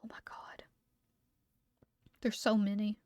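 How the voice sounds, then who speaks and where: A woman livestreamer reading document entries aloud and chatting between them, her voice a little hoarse from talking so much, sometimes skipping text with "blah, blah". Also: medium pitch, level tone, slow, darkish tone, no echo, clean studio sound. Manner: skips text with "blah, blah" asides. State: losing her voice. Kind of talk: reading entries aloud, then casual chat.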